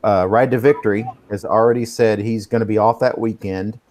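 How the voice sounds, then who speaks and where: A man's voice: fairly low pitch, steady tone, a little fast, darkish tone. A man in his fifties hosting a casual live stream chat, rambling, swearing and losing his place. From Texas. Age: 50s. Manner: rambling, swears, loses track. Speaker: host.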